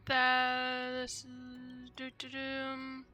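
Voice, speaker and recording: A woman with a high, strikingly bright voice commentates casually on a game stream. She rambles and thinks aloud, often tentative, while game music plays faintly behind her. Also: completely flat delivery, drawn-out words, dull audio. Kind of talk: casual livestream gameplay commentary.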